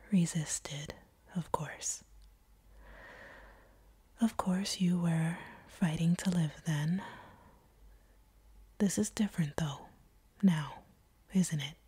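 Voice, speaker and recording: A woman playing a seductive, teasing, slightly sadistic vampire captor in an intimate audio roleplay, speaking in a breathy whisper close to the microphone. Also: low-pitched, warm tone, no room sound, heavily acted. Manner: slow, teasing, predatory purr. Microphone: close microphone, dry.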